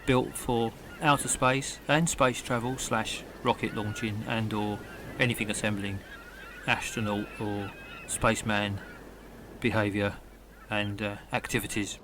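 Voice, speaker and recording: A man voicing an earnest, gullible eccentric rocket man in a comedy audio drama, explaining things in a halting, pedantic way. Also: fairly low pitch, fairly even intonation, noisy background, natural voice. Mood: defensive.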